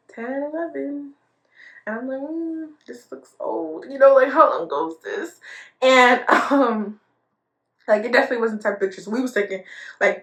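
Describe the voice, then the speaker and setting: A woman's voice: on the high side, fairly bright tone. A young woman in her twenties telling a casual storytime vlog, chatty, laughing often and full of fillers. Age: 20s. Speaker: storytime vlogger. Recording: echoey room.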